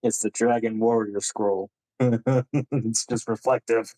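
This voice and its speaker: A man talks casually as a player in a tabletop game. His voice is medium in pitch and unhurried, with a dry sound free of echo. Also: steady tone, warm tone.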